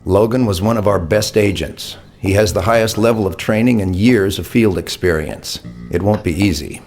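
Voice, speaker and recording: An actor playing a defensive, businesslike intelligence official in a video game cutscene, speaking formally and with measure. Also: male voice, fairly low pitch, normal speed, gravelly, darkish tone, soft background music. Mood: sober.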